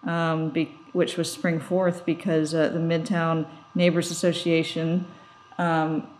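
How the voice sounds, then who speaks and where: Female podcast co-host, lower-pitched voice, informal and conversational delivery. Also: little pitch movement, slow, darkish tone, a little hiss or hum, low-quality recording. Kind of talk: casual conversational podcast chat.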